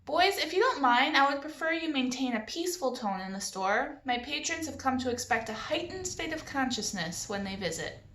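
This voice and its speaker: A woman's voice: sits high, very animated, moderate pace, a bit bright, reverberant, pitched above the performer's own voice. A woman voicing a stiff, prim new-age shopkeeper with formal, clipped politeness. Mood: strained calm.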